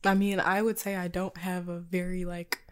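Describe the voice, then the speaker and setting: A woman's voice: lowish voice, flat delivery, moderate pace, smooth. A young woman from Texas, a model, talking bluntly with dry humor in a casual chat.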